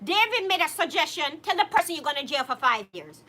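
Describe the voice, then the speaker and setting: A young woman with a very high voice and a bright, sharp tone hosts a relaxed live-stream chat. She reads out viewer comments. She laughs often, greets viewers by name, and keeps up an animated, chatty manner. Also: brisk. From Jamaica. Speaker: co-host reading viewer comments.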